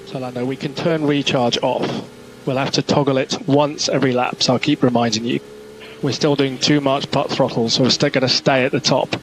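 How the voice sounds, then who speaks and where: A noisy team radio transmission carries a man's mid-pitched voice. He is a race engineer giving clipped, procedural instructions and repeating the important calls.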